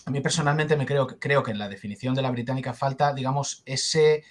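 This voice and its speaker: A man with a middle-range voice joins a discussion as co-host. He talks eagerly and warmly agrees with the guest, frequently breaking off and restarting his sentences. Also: medium pitch, fairly even intonation, a little fast. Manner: enthusiastic, restarts phrases often. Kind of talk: casual discussion.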